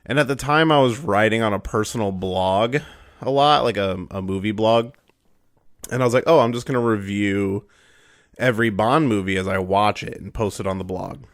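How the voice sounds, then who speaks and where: A young man hosting a podcast, hoarse and raspy from a cold, rambling through his intro with fillers and restarts. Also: on the low side, moderate pace, dry recording, studio quality. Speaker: podcast host.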